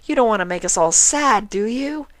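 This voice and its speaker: A performer voicing a hyperactive, excitable young female cartoon pony in a bubbly, exclaiming manner. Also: mid-range voice, normal speed, dry recording, voice pushed up, natural voice. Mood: earnest, pleading.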